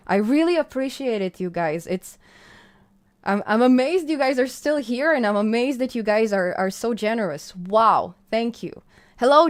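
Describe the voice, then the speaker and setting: A woman with a Hebrew accent hosts her own live stream in a loose, chatty way. She reads the chat as it scrolls by and answers her viewers with lots of uh's and restarts. She pours out thanks to them. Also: on the high side. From Israel. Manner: chatty and effusive, gushing with thanks, many fillers and restarts. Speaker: channel host.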